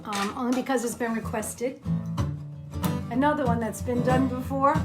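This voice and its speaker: A woman's voice: on the high side, steady tone, normal speed. A woman singer-songwriter chatting casually during a live song share from home. Her voice is dry and throaty, and she talks with lots of ums and restarts, thanking her listeners. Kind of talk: casual livestream chat between songs. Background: her own acoustic guitar.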